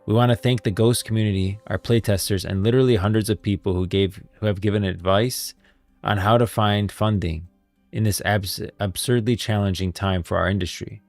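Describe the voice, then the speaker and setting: A man hosting a casual gaming livestream, chatting informally, thinking aloud in a halting way and repeating phrases. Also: fairly low pitch, fairly even intonation, gravelly, darkish tone, no echo, soft background music. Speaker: streamer, host of a gaming lore stream. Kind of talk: casual livestream chat, reading posts aloud.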